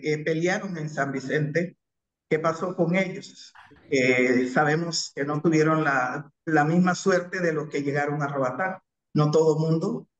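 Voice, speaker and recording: Entrevistador de voz aguda en sala con eco. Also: male voice, fairly high pitch, roomy sound. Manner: hesitant, many fillers. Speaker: interviewer. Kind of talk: interview question.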